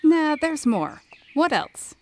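Higher-voiced, brisk, teasing woman leader. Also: very animated, a little hiss or hum, heavily acted. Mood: probing. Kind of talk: fiction podcast audio drama acting.

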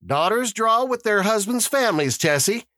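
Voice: mid-range voice, sing-song, moderate pace, fairly bright tone, dry recording, clean studio sound, pitched above the performer's own voice. A narrator voicing a male town official who gives orderly instructions in a formal, patient, civil way. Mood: brisk, civil.

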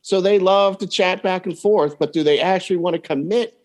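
Man, somewhat high-pitched, podcast guest coach, casual advice, anecdotal storytelling. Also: normal speed. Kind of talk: casual advice chat, telling client anecdotes.

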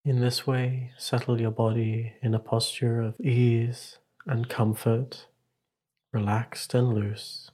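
A man leading a guided meditation as a teacher, speaking in a calm, measured, instructive way with long pauses. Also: mid-range voice, slightly rough.

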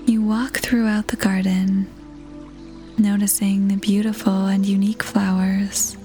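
A woman narrating a scripted guided meditation in a soft, breathy near-whisper, soothing and gentle, pausing between phrases. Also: mid-range voice, flat delivery. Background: soft new-age music.

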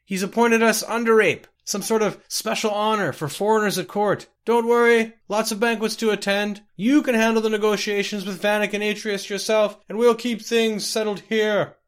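A man voicing a cheerful male merchant yelling advice from a distance. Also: high voice, fairly even intonation, moderate pace, few pauses, smooth, voice pushed up.

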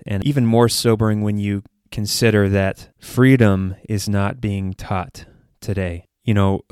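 A man's voice: low-pitched, a little mellow, clean tone, no echo, studio quality. An American man hosting a podcast, giving earnest, conversational solo commentary with hesitant phrasing. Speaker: podcast host.

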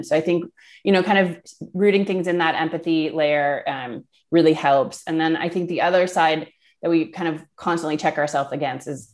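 A woman's voice: low-pitched, gravelly. A woman giving conversational interview answers as a podcast guest, explaining things informally with frequent filler phrases like "you know" and "kind of". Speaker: guest, product marketing manager.

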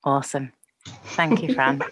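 A woman's voice: very deep voice, expressive, a little slow, slight background noise. A woman interviewing a guest, encouraging and asking open questions. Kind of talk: podcast interview. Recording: dry close recording.

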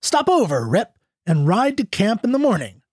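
A reader voicing an older rancher who talks in a hearty, booming way. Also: wildly swooping pitch, normal speed, darkish tone, slightly rough, no echo, studio quality.

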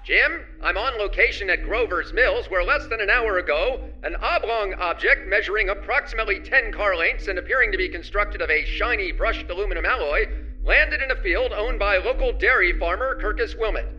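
An actor playing an excitable male on-the-scene reporter in an old-time radio drama, earnest and dramatic. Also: high voice, a little fast, highs cut off, faint music behind, full character voice. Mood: earnest, amazed.

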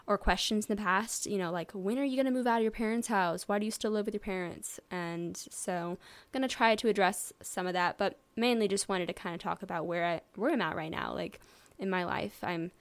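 A woman's voice: mid-range voice, a little fast. A woman in her twenties talking casually as a solo podcast host, chatty and rambling, with many likes and you knows. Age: 20s.

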